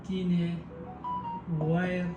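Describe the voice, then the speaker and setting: A man giving a step-by-step technical tutorial, explaining simply and repetitively in halting phrases. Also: sits high, level tone, muffled recording, music in the background. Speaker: technical instructor. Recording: echoey room with clear background noise.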